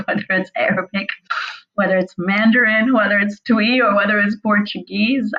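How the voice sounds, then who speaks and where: A woman's voice: fairly low pitch, a bit bright. Reflective woman on slightly muffled audio. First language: Urdu. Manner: reflective, speaks in long flowing sentences. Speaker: author guest. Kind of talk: conversational interview.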